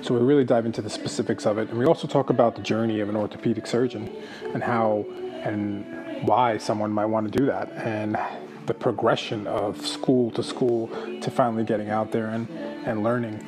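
Flat-voiced casual podcast host. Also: male voice, lowish voice, fairly quick. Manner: casual, loose phrasing with "kinda". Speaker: podcast host, physical therapist. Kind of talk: podcast intro and interviewing. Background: music bed.

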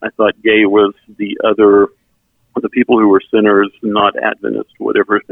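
A middle-aged man from South Texas whose first language is German, telling his personal story in an earnest, halting way as an interview guest. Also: on the low side, monotone, creaky, no echo. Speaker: interview guest, member services volunteer. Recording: tinny phone-like sound, band-limited.

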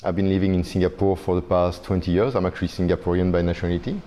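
A man's voice: deep voice, level tone, rapid-fire, warm tone. A man in his fifties, originally from Belgium, a chef being interviewed, speaking hesitantly and often repeating words as he searches for phrasing. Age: 50s. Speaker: chef, guest. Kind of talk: conversational interview.

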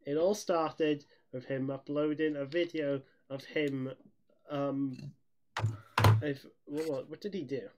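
A young man with a fairly high-pitched voice chats casually as a podcast co-host. He rambles through anecdotes, restarting excitedly and giggling easily. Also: slow pace, background noise. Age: young adult. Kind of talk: casual chat, telling anecdotes.

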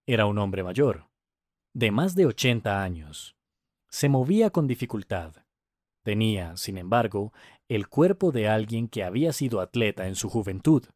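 A man narrating a scripted story for language learners, with careful, deliberate enunciation. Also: on the low side, a little mellow, no room sound, studio quality.

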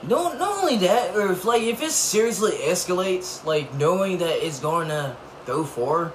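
A man's voice: high voice, expressive. A young man in casual chat, playful and teasing. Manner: playful, teasing, drawn-out agreement. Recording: clear background noise.

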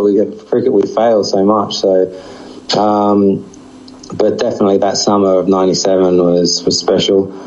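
Aussie-english mixed accent guy, 40s, low voice, candid and reflective, kinda muffled lo-fi audio. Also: a little mellow. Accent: mix of Australian and English. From Australia. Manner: frank, reflective, with a wry laugh. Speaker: former cricketer, guest. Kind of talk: candid interview answers. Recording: dull lo-fi audio.

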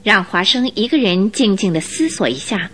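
A woman reading scripted narration for a radio drama in an expressive storytelling manner. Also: medium pitch, moderate pace, slight background noise.

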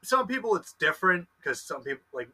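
A young man in his early twenties co-hosts a podcast in a very bright voice that sits a little high. He asks questions eagerly and often trips over his own restarts before getting the thought out. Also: very animated, a little fast, slightly rough. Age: 20s. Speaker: podcast co-host, wrestler. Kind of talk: casual podcast interview.

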